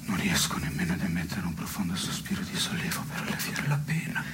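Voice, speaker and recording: An actor playing a brooding, neurotic, melancholy man who philosophises in measured, literary theatre dialogue. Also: deep voice, very animated, over loud music. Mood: gloomy musing. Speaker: stage actor and playwright.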